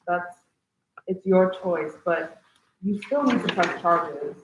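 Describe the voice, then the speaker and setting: A woman's voice: fairly low pitch, takes it slowly, noisy background. A young woman acting a supportive, insistent and protective friend in a staged play reading. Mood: earnest, insistent. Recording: echoey, muffled, distant mic.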